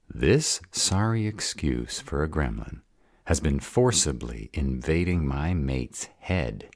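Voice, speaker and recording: A man playing a gruff, hot-tempered were-dragon protective of his mate in an audio roleplay, gravelly, blunt and growling. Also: a bass voice, no echo, clean studio sound, full character voice. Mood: angry, threatening. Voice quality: gravelly, raspy. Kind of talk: audio roleplay drama acting.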